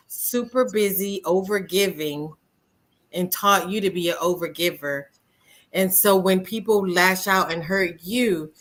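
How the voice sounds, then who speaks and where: A woman's voice: on the low side, fairly bright tone. A woman hosting a casual live stream, speaking emphatically and throwing rhetorical questions at her listeners. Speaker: host.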